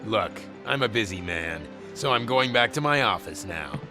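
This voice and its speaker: A man plays a sleazy arcade owner in a video game who taunts the police with smug sarcasm. His voice sits a little low. Music plays clearly in the background. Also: animated, moderate pace, lightly acted.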